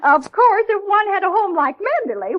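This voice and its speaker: A woman's voice: very high-pitched, sing-song, moderate pace, dull audio. An actress playing a gushing, bossy, gossipy old society lady in a 1930s radio drama. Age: older. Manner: imperious, effusive, chattering.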